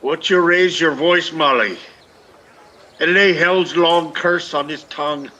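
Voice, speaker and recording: A man's voice: a little high, slow pace, bright, forceful tone, muffled recording, heavily acted. An actor playing a bitter old blind Irish beggar man in a radio play, declaiming in lilting dialect. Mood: scornful, pleading. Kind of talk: theatrical radio play acting.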